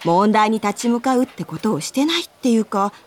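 A woman playing a perky, forthright young senior colleague, expressive and casual, in a radio drama. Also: medium pitch, brisk, lightly acted. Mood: challenging.

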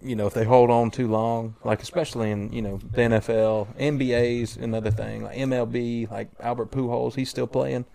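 A man's voice: medium pitch, level tone, brisk, vocal fry, few pauses, dark tone. A man in casual group banter, tossing in dry quips about sports.

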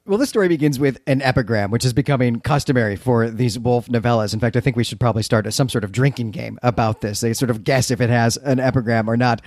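A man's voice: medium pitch, fast talker, few pauses, a little mellow, no echo. Chatty man co-hosting, very dry sound. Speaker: podcast co-host. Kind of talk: conversational literary podcast hosting.

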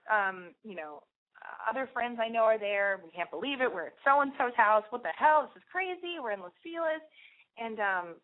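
A woman hosting a podcast, chatting with a caller and then telling a story in a talkative way, with lots of restarts and "like". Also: mid-range voice. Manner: talkative, quick restarts, frequent "like". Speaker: podcast host. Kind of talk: casual call-in chat and storytelling. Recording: phone-like narrow band with faint hiss.

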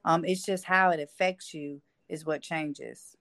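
A woman with a slightly low voice talks as an interview guest. Her delivery is conversational. The recording is very dry, with no room sound. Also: fairly even intonation, measured pace, a bit bright, dry recording.

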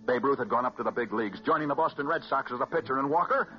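An American man telling stories as a 1940s radio host, scripted and dramatic, with emphatic repetition and weighty pauses. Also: medium pitch. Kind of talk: 1940s radio sports storytelling, scripted narration. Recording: muffled vintage 1940s radio recording. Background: music.

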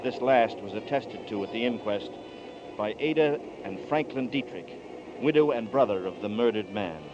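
A man's voice: expressive, normal speed, background noise, lightly acted. An actor narrating in first person as a haunted man on the run, in 1940s radio drama style. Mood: brooding. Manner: low, brooding narration. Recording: dull 1940s radio broadcast with music.

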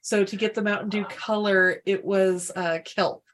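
A woman co-hosting a podcast in casual chat, animated and blunt, quick to correct the others. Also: mid-range voice. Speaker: podcast co-host.

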